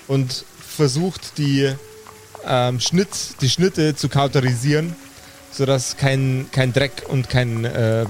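Slow, deliberate male game master. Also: medium pitch, slight background noise. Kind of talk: improvised tabletop game narration. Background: background music.

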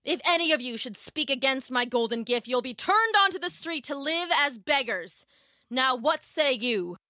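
A woman's voice: high-pitched, lively intonation, normal speed, sharp tone, no echo, clean studio sound, voice pushed up. A woman playing a proud, greedy king and father in a story, declaiming in a pompous, theatrical way. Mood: indignant, boastful.

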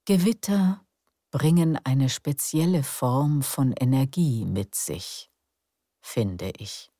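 A woman narrating a calm bedtime story in a slow, soft, soothing way. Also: a bass voice, dry recording, studio quality.

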